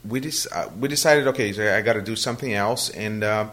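A man's voice: on the low side, quick pace, fairly bright tone. A Brazilian man, native Portuguese speaker, answering interview questions in a hesitant conversational way, often restarting his sentences. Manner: hesitant, many restarts and fillers.

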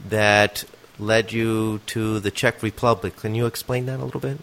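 A man with a fairly low voice interviews a guest over a video call. He keeps to brief, plain follow-up questions. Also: fairly even intonation, normal speed, fairly bright tone.

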